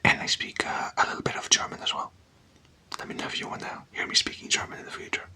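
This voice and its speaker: A person doing casual, playful ASMR in a breathy whisper, chatting and putting questions to the listener. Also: fast talker, sharp tone. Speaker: ASMR content creator.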